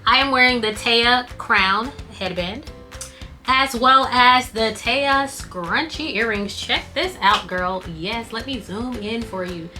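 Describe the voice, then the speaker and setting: Female vlogger, casual host, bright tone, voice fading, soft music underneath. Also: on the high side, takes it slowly. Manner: chatty, reads tips off cue cards while sewing. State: losing her voice. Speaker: scrunchie business owner and channel host. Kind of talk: casual tutorial vlog.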